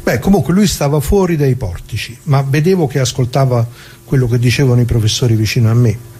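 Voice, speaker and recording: A man's voice: medium pitch, brisk, mellow, lightly acted. An actor playing a plain-spoken old workingman who remembers, in a colloquial, reflective radio theatre monologue full of rhetorical questions. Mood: reflective, wry. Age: older. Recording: clearly noisy recording with hum.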